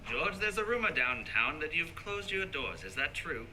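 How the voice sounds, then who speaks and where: Guy doing rich old villain banker, 40s radio, really piercing voice, slippery fake concern, pitch jumps around. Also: big pitch swings, moderate pace, pushed and bright, exaggerated voice. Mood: falsely concerned. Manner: falsely solicitous. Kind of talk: 1940s radio play acting. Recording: live stage recording with background noise.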